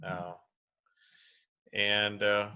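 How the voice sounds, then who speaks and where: A man's voice: fairly low pitch, little pitch movement, very slow, pushed and bright, clean tone. A man from India, candidly sharing his experience in a rambling, easygoing way over a video call. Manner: candid, rambling, laughs easily. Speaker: participant. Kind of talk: personal sharing. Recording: lo-fi web call audio.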